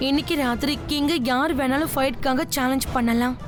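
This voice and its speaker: Female actor as cartoon queen, high pitch, solemn, composed, explanatory, music underneath. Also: a little fast, natural voice. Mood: grave. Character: wronged, composed queen of the ape land. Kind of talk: Tamil cartoon dub acting.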